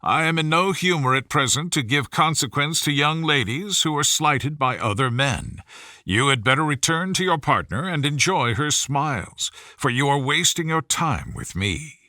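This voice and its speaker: A man voicing a proud, aloof young gentleman in a storybook reading, speaking in a clipped, dismissive way. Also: a little high, animated, moderate pace, dry recording, clean studio sound. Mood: cold disdain.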